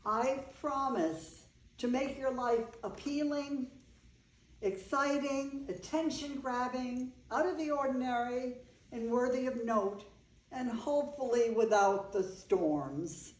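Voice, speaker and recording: Older bride, female stage reader: eccentric, chatty, earnest storyteller with a raised pitch, heard in an echoey room. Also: takes it slowly. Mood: affectionate. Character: Eve, eccentric older bride, affectionate, chatty animal lover. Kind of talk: staged reading of a play. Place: indoor room, staged reading.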